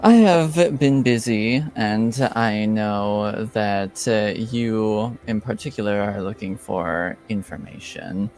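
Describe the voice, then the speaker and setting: A cloaked woman informant, played by a man at the table, speaks in stiff, formal sentences with no contractions. The voice sits low and the speech comes slowly. Soft music plays faintly in the background. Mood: measured. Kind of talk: in-character roleplay.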